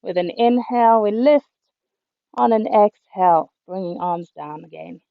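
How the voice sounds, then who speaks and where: A woman yoga teacher, a little hoarse, calmly cueing breath and movements through a class over a video call. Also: mid-range voice, a little slow, dry recording. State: slightly hoarse. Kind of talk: guided yoga instruction. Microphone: computer mic on a video call. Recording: dull, lo-fi video call audio.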